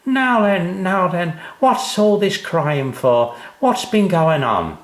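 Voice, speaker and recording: A man's voice: high voice, big pitch swings, slow, roomy sound, pitched above the performer's own voice, heavily acted. A man voicing a kind, cheery odd-job man with folksy, repetitive phrasing in a children's story. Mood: curious, friendly. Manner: folksy, repeats his phrases.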